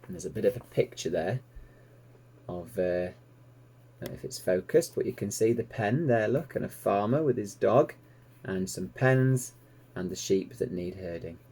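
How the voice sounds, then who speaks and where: A man's voice: on the low side, expressive, normal speed, warm tone, husky. A man reading a children's story aloud expressively, like a teacher to pupils.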